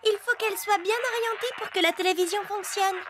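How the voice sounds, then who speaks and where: A performer voicing a friendly, reassuring little girl in a French children's cartoon, with an expressive, coaxing delivery. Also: extremely high pitch, a little fast. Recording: loud music behind the voice. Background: children's cartoon music.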